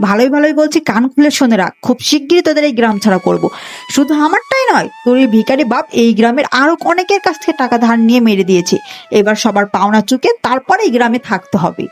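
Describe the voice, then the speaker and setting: A woman's voice: fairly high pitch, expressive, fast talker, natural voice. A woman voicing a cruel, haughty village auntie in a cartoon, scolding with contempt and threats. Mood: threatening. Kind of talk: Bengali cartoon moral-story voice acting.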